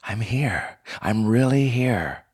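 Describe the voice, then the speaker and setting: A man's voice: on the low side, expressive, slow pace, a little mellow, slightly rough, voice pushed up, heavily acted. A man voicing an eager, nervous young woman in a story, earnest and a little hesitant. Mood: awed.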